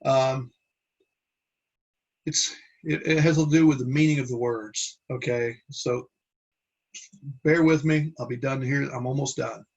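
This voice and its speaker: A man with a husky, slightly rough voice leads a Bible class, working through his slides out loud. He talks haltingly, stopping to restart words and filling the gaps with "uh" and "um". His pitch sits in a middle range. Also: steady tone, muffled recording. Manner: halting, with many restarts and fillers.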